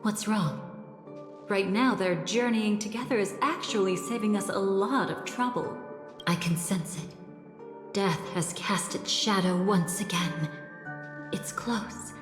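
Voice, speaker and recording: An actress playing a poised, teasing, secretive woman in a video game, with a measured, theatrical delivery. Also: mid-range voice, close to an everyday voice. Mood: ominous calm. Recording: echoing reverb under loud music.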